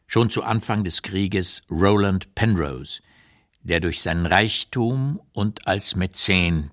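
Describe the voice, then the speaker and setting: A man reading as an old writer looking back on wartime London in a measured, deliberate literary radio narration. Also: fairly low pitch, fairly bright tone, full character voice. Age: older. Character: old male writer recalling wartime London, unsparing, reflective.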